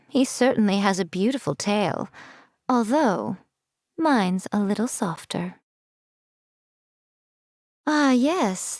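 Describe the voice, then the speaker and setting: A woman voicing a sly, elegant fox-spirit shrine priestess in a video game, with coy, teasing, haughty and measured delivery. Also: mid-range voice, darkish tone, dry recording, clean studio sound. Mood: amused, sly, then wry. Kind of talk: game character voice lines, theatrical monologue.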